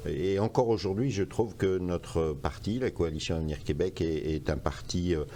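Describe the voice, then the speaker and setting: Very deep male candidate, halting interview answers, faint rumble. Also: dark tone. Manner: hesitant, repeats words. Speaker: election candidate.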